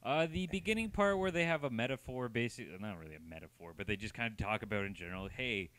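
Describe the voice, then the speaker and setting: A man co-hosting a casual review chat, wry and joking, speaking in relaxed slang. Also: medium pitch, fast talker, dry recording. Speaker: co-host of a comic book review show.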